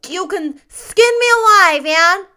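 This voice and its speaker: An impish little boy played by a woman reading a storybook, pitched high and very bright. He is frank and earnest, blurting out his thoughts in childish, ungrammatical phrases. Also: animated, slow, heavily acted. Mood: earnest promising. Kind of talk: storybook character voice.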